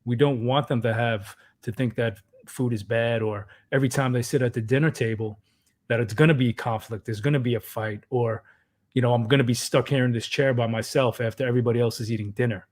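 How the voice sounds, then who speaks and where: Male co-host in his 30s, earnest dad voice, mid pitch, casual advice. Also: steady tone, a little fast. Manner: earnest, clears his throat, restarts words. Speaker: podcast co-host, father of three. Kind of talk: casual parenting advice chat.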